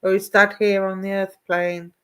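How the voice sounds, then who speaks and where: A woman's voice: medium pitch, little pitch movement, normal speed, fairly bright tone, clear, resonant tone. Woman, psychic medium on a live stream, affectionate and chatty, addresses each viewer by name. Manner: affectionate, calls viewers "my darling"; greets and addresses viewers by name, asks if things make sense. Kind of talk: live online psychic reading.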